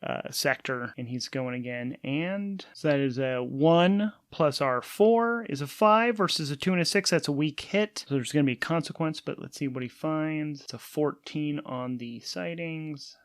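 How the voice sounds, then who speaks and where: A man's voice: fairly high pitch, moderate pace, hardly any pauses, no echo, clean studio sound. A man narrating a solo tabletop game casually, thinking aloud as he switches between rules and story.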